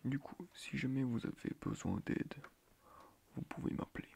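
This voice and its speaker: A man playing a soft-spoken, quirky, mock-strict schoolteacher in an ASMR roleplay, hushed and breathy, muttering hesitantly and repeating himself. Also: mid-range voice, normal speed, a little hiss or hum. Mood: calm, helpful. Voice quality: hushed, half-whispered, breathy. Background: computer keyboard typing.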